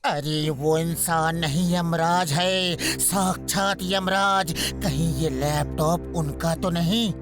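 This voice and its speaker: An actor voicing a skinny, clever, anxious cartoon man with a dramatic, expressive way of talking. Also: medium pitch, takes it slowly, few pauses, over music, lightly acted. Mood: explaining. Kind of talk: exaggerated Hindi cartoon voice acting.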